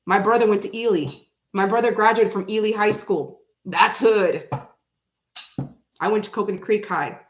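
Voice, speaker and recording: A woman in her forties, raised in Florida and bilingual in French, with an American accent. She chats casually with live viewers, rambling and breaking into sudden animated bursts. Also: medium pitch, moderate pace, echoey room, rough recording. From Canada, raised in Florida. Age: 40s. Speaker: tarot reader chatting with live viewers. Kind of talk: casual livestream chat.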